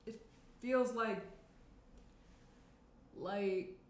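A woman's voice: mid-range voice, extremely slow. A young woman playing a guarded, stressed woman in a screenplay table read, speaking hesitantly. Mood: uneasy. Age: young adult. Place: small indoor room around a table. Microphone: shared tabletop microphone. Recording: roomy tabletop pickup, faint noise.

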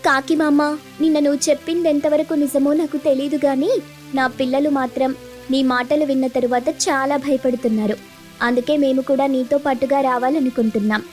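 Woman playing a confident mother sparrow, high voice, firm and insistent, children's cartoon fable, music behind. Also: fairly even intonation, moderate pace, darkish tone, lightly acted. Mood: earnest. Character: stubborn, confident mother sparrow protecting her chicks.

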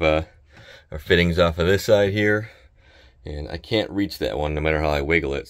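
A man in his thirties working on a boat, explaining each repair step in a relaxed, casual way. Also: very deep voice. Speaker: boat mechanic. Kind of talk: casual how-to narration while working. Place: inside a boat cabin.

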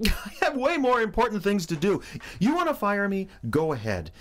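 An actor playing a fumbling, defiant young radio DJ in an audio drama, stammering and sardonic. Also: male voice, high voice, wildly swooping pitch, normal speed, a bit bright, heavily acted. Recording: clear background noise.

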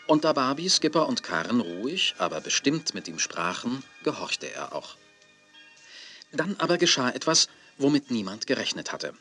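An actor narrating a children's audio drama as a storyteller, in scripted style. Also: male voice, medium pitch, pushed and bright. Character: storyteller of a gentle children's tale.

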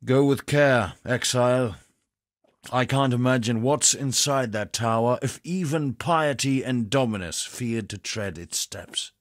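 An actor voicing a male poet ally in a dark fantasy game, speaking with measured, solemn gravity. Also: medium pitch, no echo, studio quality, close to an everyday voice. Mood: grave. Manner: measured, grave, solemn delivery. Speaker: game character. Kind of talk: studio game voice acting. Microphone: close studio mic.

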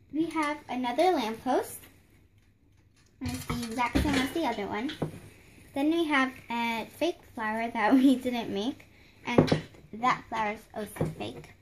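Young girl, high-ish voice, chatty show-and-tell on a phone cam, light room hiss. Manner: chatty, rambling, many fillers and restarts. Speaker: vlogger showing a doll house. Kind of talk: casual show-and-tell narration. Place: home room beside a handmade doll house. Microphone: phone camera held close, hands in frame.

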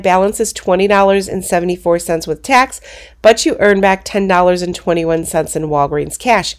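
A congested woman hosting a coupon channel, narrating a screen walkthrough of shopping deals in a brisk, practical way. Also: medium pitch. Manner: explains steps in a brisk, practical way.

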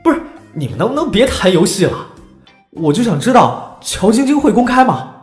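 A woman's voice: medium pitch, big pitch swings, moderate pace, a little mellow, echoey room, faint music behind, natural voice. An actress playing a curious, starry-eyed female gossip fan in an audio drama, eager and exclamatory. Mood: impatient curiosity.

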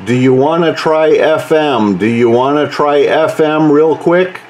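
A man's voice: a little high, unhurried. A man working as an amateur radio operator, narrating his contacts indoors into a camcorder and repeating words and call signs. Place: indoors, room with radio computer station. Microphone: camcorder held or set near the desk. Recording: steady background noise, radio hiss. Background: radio noise.

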